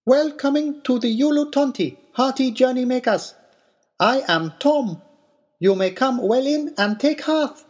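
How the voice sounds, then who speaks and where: A man voicing a very small, cheerful fellow who welcomes in halting broken English. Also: very high-pitched, darkish tone, pitched above the performer's own voice. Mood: welcoming.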